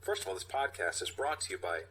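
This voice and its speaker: A man co-hosting a comedy podcast, riffing loosely and haltingly as he reads out material. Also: mid-range voice, huge pitch swings, a bit bright, a little hiss or hum, rough recording. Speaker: podcast co-host. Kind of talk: loose comedic podcast banter and script reading.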